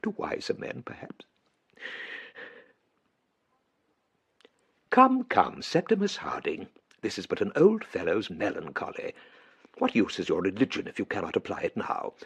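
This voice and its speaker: Elderly English clergyman, period radio drama. Very raspy, lilting delivery; courteous, hesitant, wounded, devout. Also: deep voice, sing-song, normal speed, heavily acted. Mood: melancholy. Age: older. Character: gentle, melancholy, self-doubting elderly English clergyman, wounded but devout.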